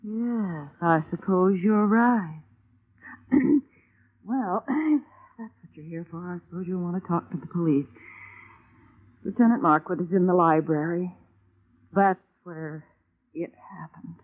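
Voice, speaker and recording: An actor playing a nervous, prim elderly woman housekeeper who stammers and clears her throat, in 1940s radio acting. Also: high voice, a little slow, pauses often. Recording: tinny lo-fi old radio broadcast.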